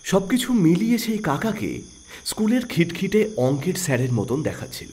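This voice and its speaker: Very animated male story narrator. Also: high-pitched, normal speed, breathy edge. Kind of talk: dramatic scripted audio story narration.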